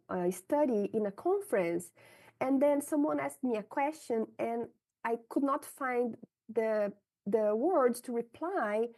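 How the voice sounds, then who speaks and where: Brazilian woman scientist, portuguese accent, kinda high soft mellow voice, frank, keeps saying right?. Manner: frank, tags sentences with "right?". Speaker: scientist interviewee. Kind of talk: candid conversational interview answers.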